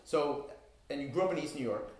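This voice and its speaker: A man's voice: fairly high pitch, brisk, darkish tone. A man hosting a casual hip-hop interview in a recording studio, talking with hype and enthusiasm. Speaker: interview host. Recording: echoey studio room.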